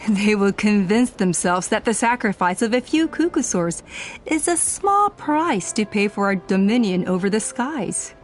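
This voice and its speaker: A woman's voice: mid-range voice, very animated, measured pace, faint music behind. An actress playing a proud, scheming female clan elder who makes grand, persuasive speeches in a dramatic video game style. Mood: self-righteous.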